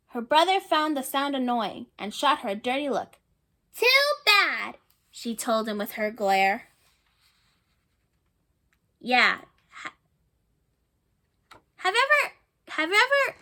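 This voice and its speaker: High-voiced woman reading a picture book. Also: sharp tone. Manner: chatty, asks listeners questions, thinks aloud. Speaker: storyteller reading to children. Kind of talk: picture book read-aloud with asides to listeners.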